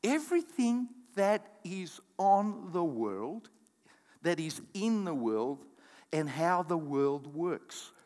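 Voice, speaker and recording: A man preaches to his congregation in a high voice that leaps up and down with energy. He calls on the crowd to repeat words and drops in quick asides as he goes. His voice rings with a long reverb through the hall. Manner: animated preaching with audience call-outs and asides. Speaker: pastor. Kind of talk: sermon.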